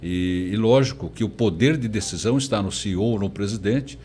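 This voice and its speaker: An older man, a professor, telling his story as an interview guest in a halting, conversational way. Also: on the low side, a little mellow. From born in Portugal, emigrated very young. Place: recording studio. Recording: faint background noise.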